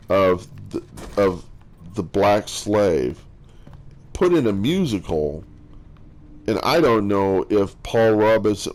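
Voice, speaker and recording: Guy with a big low bass voice, mellow, slow, lots of ums and restarts, just rambling. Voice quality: strong bass voice. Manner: halting, with many fillers and restarts. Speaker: streamer. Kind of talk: casual rambling chat.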